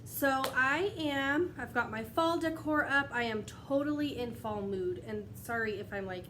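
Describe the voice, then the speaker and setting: A woman's voice: a little high, unhurried, sharp tone, slight background noise. A young woman vlogging casually from home, chatty and rambling, a little stuffy from a cold, often laughing between thoughts. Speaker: wax melt vlogger. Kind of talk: casual chat to camera. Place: at home, indoors. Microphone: tablet camera.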